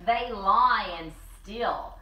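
A woman co-hosting a story time, reading a picture book aloud in an expressive, deliberate way with drawn-out words. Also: mid-range voice, muffled recording. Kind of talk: animated children's story read-aloud. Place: small living room with fireplace and bookshelves. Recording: roomy, slightly distant camera mic.

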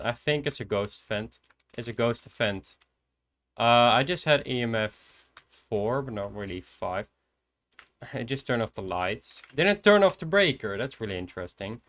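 An eighteen-year-old man with a Dutch accent, quietly thinking aloud as he streams a horror game from his computer desk. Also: medium pitch. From Netherlands. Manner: soft-spoken, hesitant, thinking aloud. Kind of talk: casual gameplay commentary. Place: indoors at a computer.

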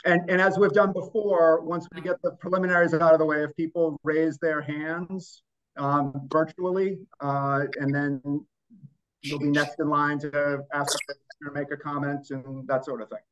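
A man's voice: fairly high pitch, level tone, moderate pace, a bit bright. A middle-aged man hosting a book club over a video call, hesitant and often restarting his sentences. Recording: dull lo-fi call audio.

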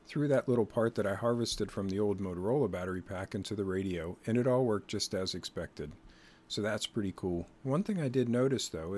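A man narrating a hands-on project in a casual, explanatory, lightly wry conversational way. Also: on the low side. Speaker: host of an electronics hobby channel. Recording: clear steady background noise.

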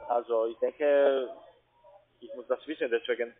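A man's voice: mid-range voice, steady tone, moderate pace. A man with a foreign accent and non-native phrasing, working as a call centre agent and arguing with a customer. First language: not German. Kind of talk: phone sales conversation. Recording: band-limited phone call audio.

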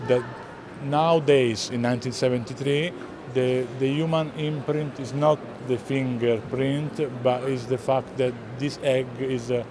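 A middle-aged man with an Italian accent explaining artworks to visitors, pausing often to search for words. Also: medium pitch, fairly even intonation. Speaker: art gallerist presenting works. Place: large indoor art fair hall. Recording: busy hall crowd noise.

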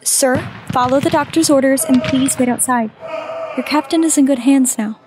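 An actress playing a caring, calm, world-weary nurse in a wartime audio drama. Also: female voice, high voice, normal speed, noisy background, close to an everyday voice. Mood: firm.

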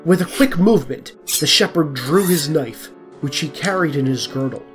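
A man's voice: on the high side, normal speed, over music. A man narrating a scripted folk tale as the storyteller of an audio drama.

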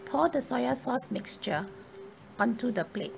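A woman reading a scripted cooking tutorial in a measured, step-by-step instructional manner. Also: on the high side, lively intonation, vocal fry. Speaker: cooking video narrator. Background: music.

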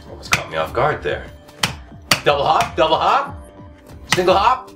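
Hoarse, cocky gamer man yelling outbursts. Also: on the high side. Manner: cocky, self-hyping, swings from mutters to shouting outbursts. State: hoarse, losing his voice. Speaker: comedy gaming host. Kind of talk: over-the-top comedic game commentary. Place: home room, indoors. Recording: somewhat distant mic, loud game music behind.

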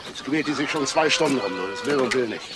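An actor playing an anxious, weary middle-aged farmer in a TV drama. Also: male voice, medium pitch, lively intonation, fast, close to an everyday voice. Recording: noisy farmyard film sound.

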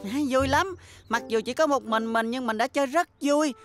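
Lady doing a sensitive little cartoon boy, high earnest kid voice, music behind. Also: normal speed, lightly acted. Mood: happy. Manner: childlike, earnest delivery. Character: sensitive young schoolboy, weary of extra classes. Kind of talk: animated cartoon dub.

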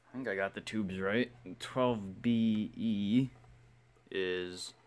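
A man with a fairly low voice talks his way casually through hobby repair work. He rambles as he thinks aloud, often in a self-deprecating way. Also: slow. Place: indoor workshop bench.

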